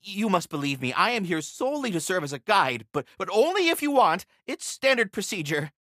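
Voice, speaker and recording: A man's voice: high-pitched, very animated, a little fast, a bit bright, dry recording, studio quality. A man voicing a polite, erudite giant squirrel, keeper of a world tree, in a video game, with pompous, florid diction. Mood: anxious, eager. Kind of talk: scripted video game character dialogue.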